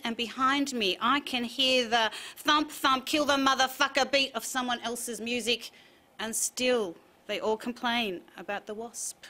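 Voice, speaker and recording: Bright, crisp-edged woman reading literary pieces. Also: high-pitched. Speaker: writer reading own work.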